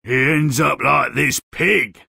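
A man voicing a gruff, theatrical fairy-tale character in a video game, with a raspy voice. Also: medium pitch, takes it slowly, sharp tone, no echo, studio quality, heavily acted. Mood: grim, pompous.

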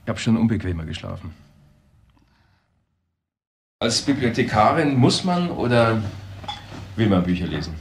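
Easygoing low-voiced detective. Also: male voice, sing-song, normal speed, long pauses, slight background noise. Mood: curious, casual. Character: male detective's colleague, easygoing, curious, hesitant questioner. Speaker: actor in a crime radio play. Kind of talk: German crime radio drama acting.

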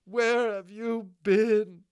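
Storybook role: fierce, wounded peasant woman of middle age, high-pitched and scolding, played by a male reader. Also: very animated, measured pace, dry recording, studio quality. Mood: anguished fury. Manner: scolding, anguished outbursts.

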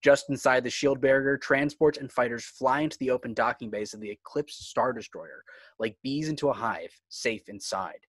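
A man's voice: mid-range voice, normal speed, fairly bright tone, husky, no room sound. A man reading screenplay stage directions as dramatic scripted narration.

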